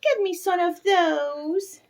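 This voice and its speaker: A woman voicing a whiny, insistent little girl in a storybook, wheedling with drawn-out pleas. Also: very high voice, a little mellow, pitched above the performer's own voice, full character voice. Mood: pleading, insistent. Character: pleading, whiny little girl wanting markers.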